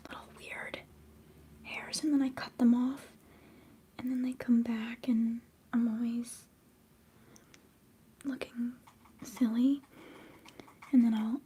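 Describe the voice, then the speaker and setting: A young woman whispering softly and chattily during a live ASMR stream, giggling now and then. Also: a little high, slight background noise. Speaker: livestream host.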